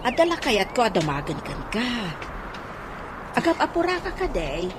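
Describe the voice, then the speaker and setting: A woman's voice: on the high side, very animated, moderate pace. A woman acting a nosy, gossiping senior-citizen neighbour in an Ilocano radio comedy drama, with a scandalised manner. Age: older. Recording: radio drama with clear background noise.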